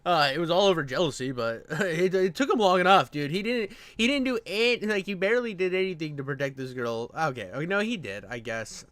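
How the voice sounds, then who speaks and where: Male reactor, slightly higher register, sardonic and exasperated, slangy casual rants, dry recording. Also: a bit bright, dry recording. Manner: sarcastic, exasperated, crude slangy ranting. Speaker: reaction video host.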